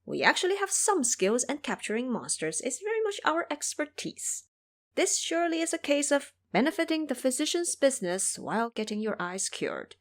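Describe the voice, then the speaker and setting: A boastful monkey monk, played by a woman, struts through an audio drama. The voice is raised and swings widely in pitch, brash and cheeky. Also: very animated, normal speed. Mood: confident pitching. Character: cocky hideous monkey monk, brash monster-catcher.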